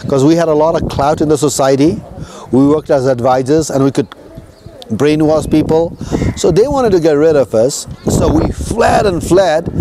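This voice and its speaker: A man's voice: on the high side, background noise. A man from Nepal guiding tourists outdoors, telling history in a casual, conversational way and often checking in with his listeners. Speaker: tour guide.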